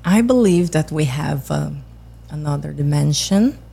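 A woman whose first language is Portuguese, chatting as a podcast guest in halting English with light laughs. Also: deep voice, lively intonation, gravelly, breathy edge. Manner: halting non-native English, soft laughs. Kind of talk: casual chat.

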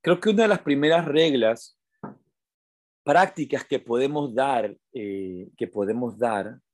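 A man's voice: mid-range voice, lively intonation, a little slow, darkish tone, dry recording, clean studio sound. A man teaching meditation and attention in an informal talk, didactic and repeating his phrases for emphasis. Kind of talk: informal spoken teaching to an online audience.

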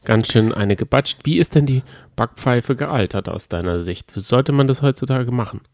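A man's voice: medium pitch, normal speed, a little mellow, no room sound, clean studio sound. A man chatting casually as a podcast co-host in a colloquial, teasing way. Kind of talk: casual chat about reality TV.

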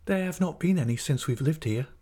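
Male narrator as meek young woman, high pitch, faltering, plaintive, nervous and helpless storybook mother. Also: animated, a little fast, warm tone, breathy edge. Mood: hesitant. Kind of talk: audiobook character voice.

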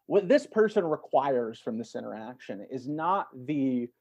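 A man's voice: medium pitch, very animated, measured pace, darkish tone, breathy edge. A man talking casually as a podcast guest, explaining things in a thoughtful, conversational way.

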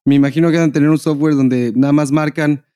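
A man's voice: fairly high pitch, fairly even intonation, quick pace, warm tone, clean tone. A Mexican man co-hosting a podcast in a casual, slangy chat sprinkled with swearing. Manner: informal, slangy, profane. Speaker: podcast co-host.

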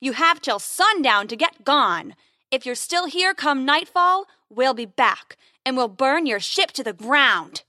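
Woman as a loud, high-pitched menacing poacher boss. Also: expressive, measured pace, no room sound, studio quality, exaggerated voice. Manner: threatening, loud. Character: tall, bawling male poacher gang leader, menacing villain.

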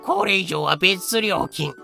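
An actor plays a chatty seller of pirate stories in an audio drama. The voice is gravelly and bright, talking in a rough, bargaining way. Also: mid-range voice, very animated, slow pace, soft background music, heavily acted. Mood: chatty, sly. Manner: casual, rough, bargaining speech. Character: grasping, chatty teller of pirate tales. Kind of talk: Japanese fan-made audio drama acting.

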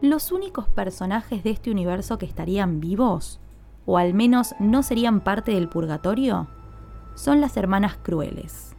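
A woman hosting a podcast, telling a scripted story in a measured, explanatory way with emphatic openers. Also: medium pitch, expressive, mellow, soft background music. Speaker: podcast host.

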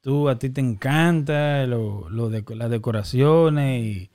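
A man's voice: medium pitch, slow pace, darkish tone. A man, joking and slangy in a casual chat, often cutting in with questions.

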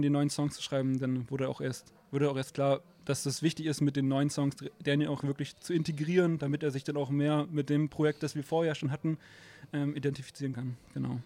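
A man's voice: on the high side, dark tone. A man in a band, a native German speaker, telling a long story in a casual interview, rambling with lots of fillers and frequent laughter.

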